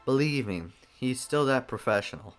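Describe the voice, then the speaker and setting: A man's voice: mid-range voice, lively intonation, normal speed, clean tone, faint music behind, close to an everyday voice. A man voicing a loyal male soldier reporting to his captain in the middle of a fight. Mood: earnest.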